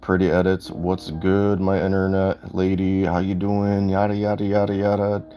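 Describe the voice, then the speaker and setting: A man with a raspy voice chatting casually while streaming gameplay and reading out viewer comments. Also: low-pitched, monotone, moderate pace, warm tone. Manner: laid-back, chatty, reads out chat comments. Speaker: gaming streamer.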